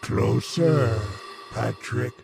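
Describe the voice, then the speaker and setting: Very slow, menacing alien monster. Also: male voice, mid-range voice, vocal fry, a little mellow, faint music behind, heavily acted. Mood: hypnotic, commanding. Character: male alien monster, cold, commanding, hungry for human brains. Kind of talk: old-time radio horror acting.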